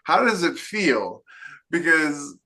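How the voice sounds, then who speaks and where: A man's voice: on the high side, sing-song, slow pace, bright, forceful tone, poor audio. A man co-hosting a podcast interview in casual, emphatic talk, often acting out imagined quotes to make his point. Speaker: podcast co-host.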